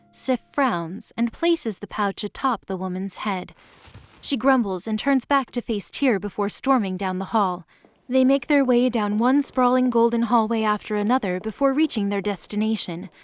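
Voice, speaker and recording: A woman's voice: medium pitch, normal speed, dry recording. A woman reading scripted story narration as the narrator of an audio drama.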